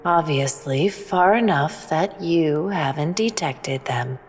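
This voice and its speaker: A woman playing a calm, all-knowing alien who speaks in a measured, formal, cryptic way in a sci-fi audio drama. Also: deep voice, husky, exaggerated voice. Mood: calm, assured. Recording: long reverb, music underneath.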